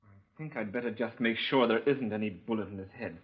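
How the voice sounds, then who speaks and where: Male, raspy low voice, dry, clinical police doctor in vintage radio drama. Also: a little fast. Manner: clinical, wry. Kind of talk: 1950s radio crime drama acting. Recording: dull 1950s radio recording.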